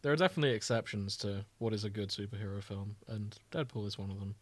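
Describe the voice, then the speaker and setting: A man's voice: fairly low pitch, fast talker, dry recording. A man hosting a podcast, talking in casual banter with friends. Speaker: podcast host.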